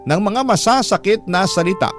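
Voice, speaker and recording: A man's voice: high voice, lively intonation, normal speed. A man with a Filipino accent giving dramatic first-person scripted narration for a radio drama. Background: music.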